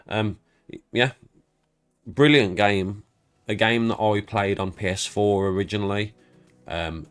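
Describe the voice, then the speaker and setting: A man with a congested, stuffed-up voice, rambling casually and self-deprecatingly as he walks through his game collection for his channel. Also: deep voice. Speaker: YouTube creator.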